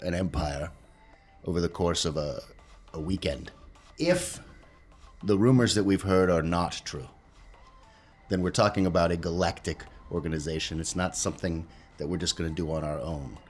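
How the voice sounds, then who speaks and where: A man playing a tabletop role-playing game, talking casually in a firm, deliberate, argumentative way. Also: deep voice, soft background music. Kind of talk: casual in-character and table talk.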